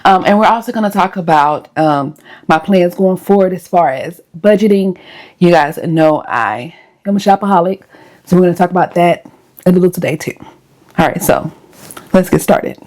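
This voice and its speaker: Hoarse woman, slightly low voice, chatty, talking to viewers, casual vlog. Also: normal speed, a little mellow. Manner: chatty, talks straight to viewers. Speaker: lifestyle vlogger.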